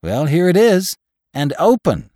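A man acts out a bewildered workman at a graveside in a storybook. His voice jumps up high and swings widely. He exclaims urgently, thoroughly agitated. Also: very animated, moderate pace, darkish tone, no room sound, studio quality, close to an everyday voice. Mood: alarmed, agitated.

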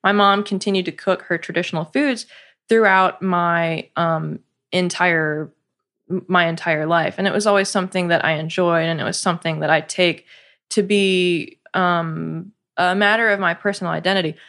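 A woman's voice: fairly low pitch, fairly even intonation, normal speed, a bit bright. Chatty laughing woman. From United States, partly raised in South Korea. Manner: chatty, laughs often, many fillers. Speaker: podcast guest, cookbook coauthor. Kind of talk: casual interview chat.

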